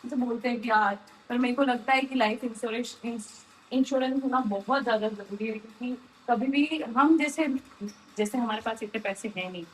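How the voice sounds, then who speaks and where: High-pitched woman chatting earnestly. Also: fairly quick. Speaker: daily vlogger. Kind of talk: casual candid conversation. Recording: clearly noisy ambient background.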